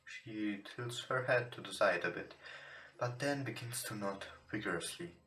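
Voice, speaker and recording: A man who is not a native English speaker, reading a visual novel aloud with casual commentary in a somewhat halting way. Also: on the low side, bright, forceful tone. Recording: roomy, echoing home recording. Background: game music.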